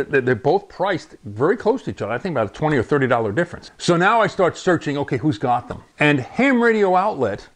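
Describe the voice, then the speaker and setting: A man in his sixties, a ham radio channel host, chatting casually and rambling a little as he unboxes a radio in his home radio room on a lapel mic. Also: a little high. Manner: chatty, rambling, chuckles often. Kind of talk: casual product unboxing vlog.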